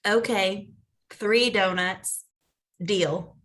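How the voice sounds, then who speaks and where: A woman playing an earnest, anxious orphaned young woman in an animated comedy, with emotional delivery. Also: medium pitch, extremely slow. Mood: accepting. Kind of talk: animated comedy table read.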